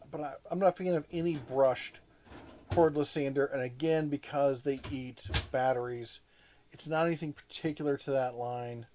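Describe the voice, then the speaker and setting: A man's voice: on the high side, darkish tone, slight background noise. A man hosting a casual live chat, rambling with frequent restarts and plenty of enthusiasm. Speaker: host.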